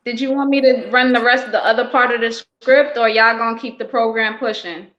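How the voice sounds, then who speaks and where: A woman's voice: on the high side, fairly even intonation, fairly quick, fairly bright tone, dull audio. A woman raised in New York City, talking casually and earnestly as a guest performer on a live stream. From born in Uganda, raised in New York City.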